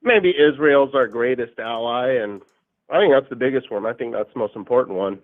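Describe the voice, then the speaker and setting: A man's voice: mid-range voice, brisk. A man in his twenties calling in, answering curtly and dismissively. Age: 20s. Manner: curt, dismissive. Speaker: caller. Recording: tinny phone-like call audio.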